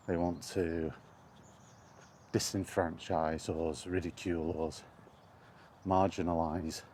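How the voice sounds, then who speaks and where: A man's voice: deep voice, a little mellow, slight background noise. A man ranting indignantly in a casual spoken vlog, recorded while walking outdoors. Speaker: gaming vlogger.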